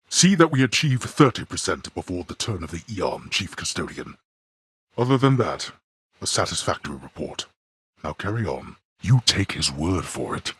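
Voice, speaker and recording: Very deep, husky underworld king. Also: no echo, clean studio sound, heavily acted. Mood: stern approval. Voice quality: gravelly, husky bass. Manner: haughty, curt, commanding, weighty formal diction. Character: stern, imperious god-king of the underworld, gruff old father. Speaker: ruler of the underworld. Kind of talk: formal, archaic video game character acting.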